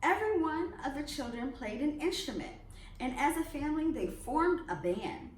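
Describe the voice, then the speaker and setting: A woman reading a children's picture book aloud as a storyteller, expressively and with deliberate emphasis on phrases. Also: high voice, expressive. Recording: roomy echo, distant mic, faint noise.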